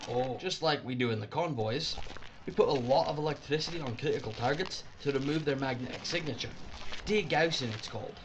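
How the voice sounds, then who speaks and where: A man's voice: mid-range voice, a little fast, lightly acted. An actor playing a cheery working-class London Underground man with a Scottish accent and a folksy, colloquial way of talking. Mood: matter-of-fact. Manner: folksy, colloquial, matter-of-fact. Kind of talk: audio drama acting. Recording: clearly noisy background ambience.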